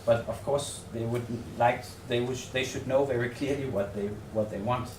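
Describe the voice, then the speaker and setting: A man with a Danish accent gives a research presentation in an echoey room, picked up from some distance with faint noise behind him. His pitch is average for a man. He talks tentatively and haltingly, often repeating words as he works out the phrasing. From Denmark. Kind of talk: semi-improvised conference presentation. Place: seminar room in a hotel.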